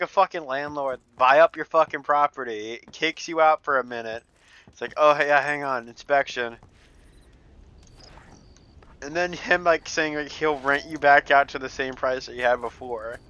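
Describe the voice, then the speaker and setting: A man's voice: fairly high pitch, moderate pace, bright, forceful tone, clear, resonant tone, lo-fi sound. A young man casually commenting on a game he is playing, rambling and swearing, laughing often. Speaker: gameplay commentator. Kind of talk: casual let's play commentary.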